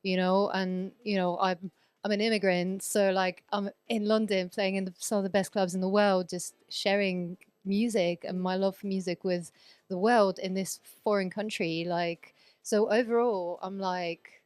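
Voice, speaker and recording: A woman's voice: medium pitch, steady tone, normal speed, darkish tone, smooth, dry recording. A woman in her thirties from Poland, raised in Sweden, talking as a guest DJ in a casual podcast interview, chatty and rambling, full of fillers and restarts.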